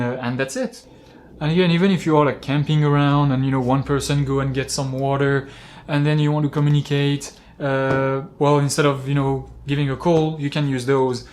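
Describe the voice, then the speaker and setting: A man talking casually as an outdoor climbing vlogger, explaining gear with examples in a halting, rambling way. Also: mid-range voice. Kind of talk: casual product-talk vlog, explaining with examples.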